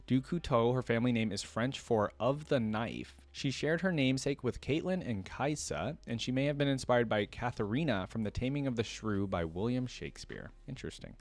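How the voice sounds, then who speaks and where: A man's voice: mid-range voice, animated, normal speed, hardly any pauses. A man hosting a reaction video in a casual, chatty way, reading aloud and adding his own musing asides. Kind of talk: casual commentary, reading aloud from wiki trivia.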